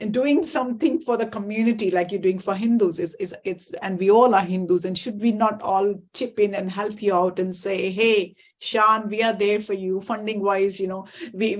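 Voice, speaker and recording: A woman hosting an interview, asking questions in a halting way with repeated words. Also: medium pitch. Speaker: talk show host. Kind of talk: conversational interview.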